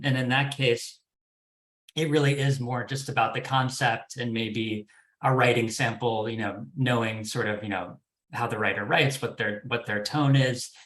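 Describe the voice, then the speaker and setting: A man explaining things on an informal professional panel, measured and a little hesitant, repeating words as he goes. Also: mid-range voice, a bit bright. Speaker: development executive, panel guest. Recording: roomy, echoing sound.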